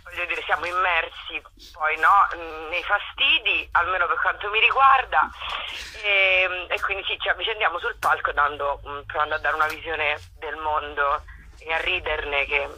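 A woman in a casual interview, speaking in a rambling, halting way with self-deprecating irony, as a comic performer. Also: low voice, bright, forceful tone, slight background noise, poor audio. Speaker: comic actress and writer, interview guest.

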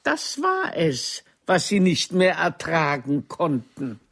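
A woman's voice: sits low, huge pitch swings, slow, a bit bright, exaggerated voice. Haughty, theatrical scornful woman, swooping melody. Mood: scornful, cutting. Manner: theatrical, cutting, formal address. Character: haughty, mocking actress, a man's scornful former lover. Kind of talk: radio drama dialogue.

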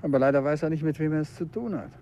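An actor playing a composed, sharp-witted male detective in a 1950s radio play, with a dry, understated, teasing manner. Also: on the high side, racing, lo-fi sound, slight background noise, lightly acted. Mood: knowing. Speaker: detective husband.

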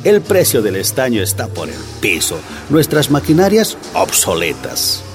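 A cynical, gloating tycoon in a radio drama. He speaks slowly, with broadly swinging intonation. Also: medium pitch, huge pitch swings, faint music behind. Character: gloating, cynical wealthy tin baron.

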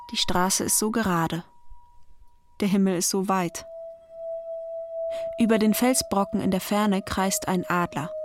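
A woman narrating an audio drama in a deliberate, measured storytelling manner. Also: on the low side.

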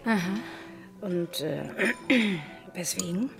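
A woman's voice: fairly low pitch, animated, slow pace, vocal fry, music in the background, heavily acted. A woman acting in a radio drama as a cool, wry, sceptical wife with a dry, unimpressed manner.

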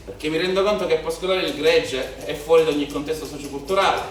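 In a very echoey theatre, picked up from a distance, a male actor plays a brooding, bitter policeman, son of a shepherd. His voice is on the high side for a man. He declaims his stage monologue with rhetorical repetition and weighty pauses. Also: fairly even intonation, natural voice. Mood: declamatory. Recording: very echoey theatre, distant mic, faint noise.

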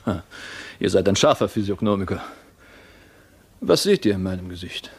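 An actor playing a proud, ambitious lord of a castle, imperious and agitated, with theatrical delivery in a radio drama. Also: male voice, deep voice, lively intonation, moderate pace, husky, full character voice. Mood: guarded, tense. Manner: imperious, theatrical, swelling from hushed to commanding.

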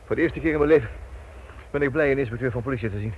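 An actor as quick, gruff fugitive husband. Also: male voice, medium pitch, close to an everyday voice. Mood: breathless relief. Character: weary, gruff husband on the run, exasperated. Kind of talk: Dutch radio drama acting. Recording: dull, faintly noisy old radio recording.

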